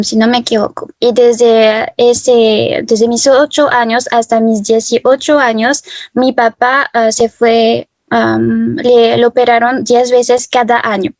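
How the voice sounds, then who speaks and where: A woman in her twenties from France, telling her personal story as an interview guest, hesitant, with many fillers and soft laughs, over a video call. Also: a little high, no room sound. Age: 20s. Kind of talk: personal testimony.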